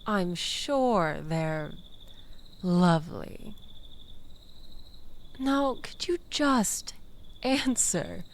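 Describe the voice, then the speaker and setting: Woman acting as toxic flirty ex, very slow drawn-out delivery, swooping lively pitch, coaxing, mock-innocent, intimate audio roleplay. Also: a little hiss or hum, exaggerated voice. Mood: mock-innocent, needling. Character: manipulative, flirtatious toxic ex-lover meeting late at night.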